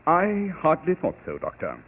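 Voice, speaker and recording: An actor playing a cool, arrogant master detective in an old radio drama, measured, precise and dryly cutting. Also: male voice, fairly high pitch, wildly swooping pitch, breathy edge, heavily acted. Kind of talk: 1940s radio acting. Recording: tinny old radio broadcast.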